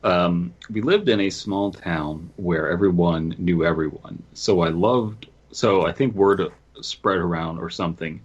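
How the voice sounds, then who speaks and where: Male podcast co-host, deep and husky, casual commentary, slightly muffled microphone. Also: measured pace, few pauses. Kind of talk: casual comic commentary with some story reading. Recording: dull, lo-fi microphone sound.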